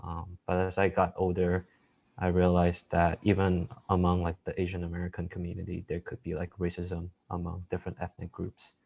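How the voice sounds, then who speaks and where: A man from Malaysia talking over a headset on a video call. His voice is deep and flat, and he speaks softly, with plenty of fillers and sentences that trail off. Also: a little fast, vocal fry, breathy edge, lo-fi sound. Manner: soft, hesitant, many fillers, trailing off quietly. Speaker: panelist. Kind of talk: casual discussion on a video call. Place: home room.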